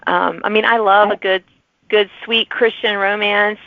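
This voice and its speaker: A woman's voice: medium pitch, fairly even intonation, a little slow, gravelly, no room sound, narrow, tinny audio. A woman hosting a podcast interview in a casual, chatty, effusive way. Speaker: podcast host and author.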